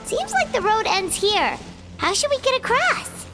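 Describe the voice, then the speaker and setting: A woman voicing a tiny, childlike, excitable fairy companion in a video game, curious and exclamatory. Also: very high-pitched, measured pace, heavily acted. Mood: puzzled. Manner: refers to self in third person, exclamatory. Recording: loud game music behind voice.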